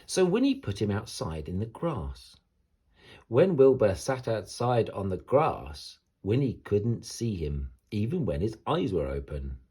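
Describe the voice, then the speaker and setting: Male storybook reader, low husky voice, lively swings in intonation, theatrical bedtime delivery for children. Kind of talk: expressive bedtime story narration.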